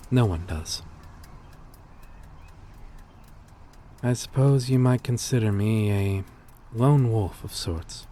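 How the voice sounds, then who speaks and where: Weary gentle vampire outcast, played by a man, very slow delivery, lowish pitch, hesitant and formal, intimate ASMR roleplay. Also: a little hiss or hum, close to an everyday voice. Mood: sad, resigned. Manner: hesitant, confessional, formal old-fashioned wording.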